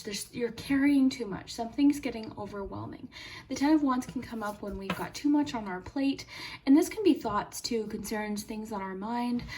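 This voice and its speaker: A young woman with a fairly high, mellow voice, a little hoarse from a sore throat, hosting a chatty pick-a-card tarot reading. She speaks in a friendly, soft way and slips into whispers as she lays out the cards. A faint hiss sits under the recording. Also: rough recording. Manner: friendly and soft-spoken, drops to a whisper at times. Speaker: tarot reader and channel host.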